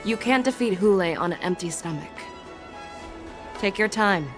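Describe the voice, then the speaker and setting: A woman's voice: mid-range voice, expressive, moderate pace, over music, natural voice. A woman voicing a seasoned female warrior general in a game, composed, assured and wryly teasing. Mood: gently chiding. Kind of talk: acted game story dialogue.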